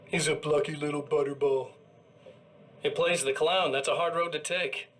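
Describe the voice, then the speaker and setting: An actor playing a smug, menacing evil doppelganger who talks in a slow, mocking, sneering way. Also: male voice, a little high, steady tone, a bit bright, close to an everyday voice.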